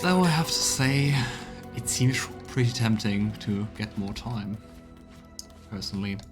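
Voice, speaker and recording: A man streaming a video game, giving commentary and reading in-game texts aloud in a measured, storytelling way. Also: lowish voice, expressive. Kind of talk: let's play commentary and voiced reading. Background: video game music.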